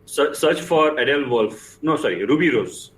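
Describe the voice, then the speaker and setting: A man's voice: medium pitch, expressive, normal speed, a bit bright. A man with an Indian accent chatting casually on a podcast panel, slipping between Hindi and English. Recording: noisy voice chat recording.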